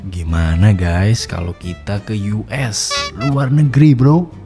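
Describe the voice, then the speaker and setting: A man playing a playful, teasing, slangy college student with colloquial Jakarta speech in an animated story. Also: on the low side, measured pace, in a large hall, music in the background, natural voice. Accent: Jakarta colloquial Indonesian. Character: joking, laid-back slangy male college student. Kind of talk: animated horror story dialogue.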